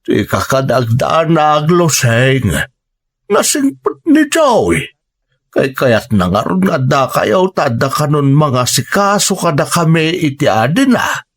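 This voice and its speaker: A man's voice: fairly high pitch, sing-song, takes it slowly, husky, exaggerated voice. A man with a Filipino accent playing an older father in a radio drama, coaxing and persuasive as he asks for things. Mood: satisfied. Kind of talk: Ilocano radio drama acting.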